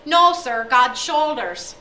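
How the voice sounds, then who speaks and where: A woman's voice: high-pitched, expressive, takes it slowly, sharp tone, slight background noise, voice pushed up, exaggerated voice. A woman doing an earnest young schoolboy. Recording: echoey room from far off.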